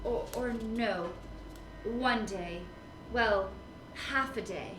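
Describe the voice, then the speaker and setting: Woman playing a high-voiced, flighty, vain film starlet. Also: drawn-out words, noisy background. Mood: wistful. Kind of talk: comic noir audio drama acting. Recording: roomy echo, music underneath.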